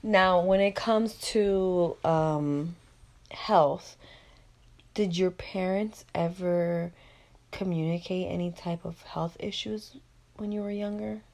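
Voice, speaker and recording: Woman in her twenties, podcast host, gravelly, lowish voice, casual interview. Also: steady tone, darkish tone. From Florida, USA. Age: 20s. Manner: chatty, asks quick follow-up questions.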